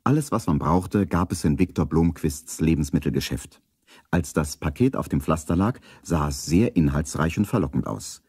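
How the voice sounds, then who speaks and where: A man narrating a children's radio play as a calm storyteller. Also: a bass voice, normal speed, slightly rough, dry recording, studio quality, heavily acted. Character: calm adult male storyteller.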